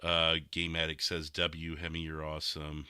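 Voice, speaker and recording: A man's voice: extremely low pitch, completely flat delivery, normal speed, pushed and bright, smooth, dry recording, clean studio sound. A man hosting a casual livestream podcast, chatting in a rambling way and reading out viewer comments. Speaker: podcast host and streamer.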